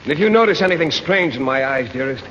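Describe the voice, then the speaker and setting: An actor playing an ardent, swooning dream lover in a florid, exaggeratedly romantic style of old radio comedy. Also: male voice, a little high, animated, fast talker, lightly acted. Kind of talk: 1940s-50s radio comedy acting. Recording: old muffled radio, echoey, noisy audience.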